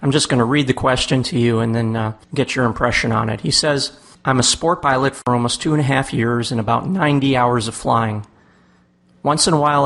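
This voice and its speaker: A man's voice: mid-range voice, gravelly, a bit bright. A man hosting a podcast interview, talking conversationally and putting questions to his guest. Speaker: podcast host.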